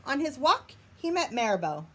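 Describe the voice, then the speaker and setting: A woman reading a picture book aloud as a teacher, in an expressive storytelling style for children. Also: sits high, normal speed, a little hiss or hum.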